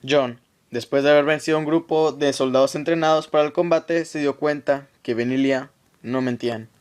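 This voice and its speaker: A man's voice: fairly high pitch, steady tone, normal speed, darkish tone, clear, resonant tone. A man reading scripted narration for a radio drama, telling a story in the third person.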